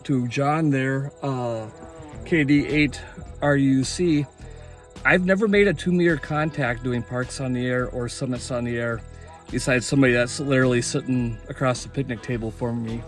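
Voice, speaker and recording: A man's voice: mid-range voice, moderate pace, soft background music. A man talking casually and enthusiastically in an informal vlog as an amateur radio operator.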